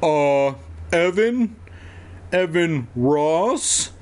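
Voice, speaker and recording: A man voicing a frightened, overwhelmed giant boy in a comic audiobook, pleading and protesting loudly. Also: medium pitch, wildly swooping pitch, moderate pace, vocal fry, slightly rough, exaggerated voice. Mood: hesitant. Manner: panicky, pleading, bursting into yells. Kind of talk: comic audiobook character reading.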